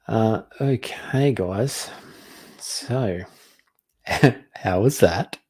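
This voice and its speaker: A man's voice: on the low side, extremely slow, dark tone, husky. A man casually hosting a live online event, speaking in an affable, somewhat hesitant and informal way. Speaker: summit host. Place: online video call.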